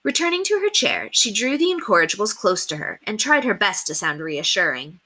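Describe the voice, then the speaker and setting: A woman reading a children's book aloud as an expressive storyteller. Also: medium pitch, brisk.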